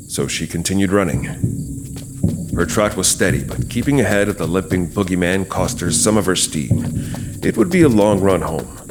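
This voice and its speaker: A man delivering dramatic scripted narration for a horror story as its narrator. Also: low voice. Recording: clear background noise, night sound effects.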